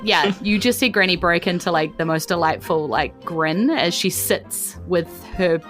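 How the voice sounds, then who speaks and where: A woman game master with a New Zealand accent narrates a tabletop game in an informal, chatty style. Her voice is bright and slightly low, with music playing behind her. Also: measured pace.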